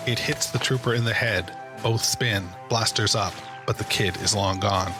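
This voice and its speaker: A man's voice: lowish voice, fairly even intonation, moderate pace. A man giving scripted dramatic narration as the narrator of an audio drama. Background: music.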